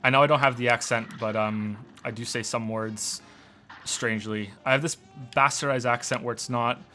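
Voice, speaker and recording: A man's voice: mid-range voice, fairly even intonation, normal speed, bright, forceful tone. A man in his thirties, born in Wales, whose accent is mostly Canadian with some Welsh traces, chatting casually and rambling while he plays on a stream. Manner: rambling, stammering restarts, answers chat questions while playing. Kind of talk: casual gaming stream chat.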